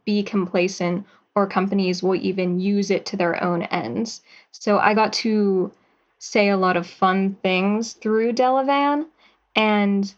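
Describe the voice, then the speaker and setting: A woman, a writer and actor, answering interview questions about her work in a slow, thoughtful way with fillers. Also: medium pitch, lo-fi sound.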